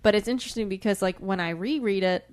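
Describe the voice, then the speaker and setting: A woman's voice: mid-range voice, quick pace, a little mellow, smooth. A young woman co-hosting a podcast in casual, giggly banter, talking in a chatty and emphatic way. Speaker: podcast co-host. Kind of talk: casual book-chat banter.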